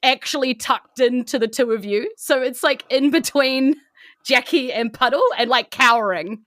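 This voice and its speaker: A woman with a New Zealand accent narrates a tabletop game as game master, improvising descriptions in short, halting phrases. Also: high-pitched, a bit bright, dry recording, clean studio sound.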